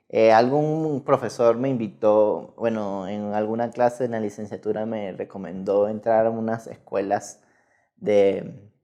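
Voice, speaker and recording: A man's voice: medium pitch, darkish tone. A Venezuelan man in his thirties giving casual, rambling interview answers with frequent self-corrections. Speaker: guest researcher in mathematics.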